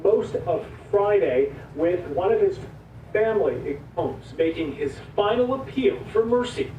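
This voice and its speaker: A man's voice: sits high, animated, unhurried, dark tone. A man reading a scripted news report as a reporter. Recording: dull, distant, echoey playback with faint noise.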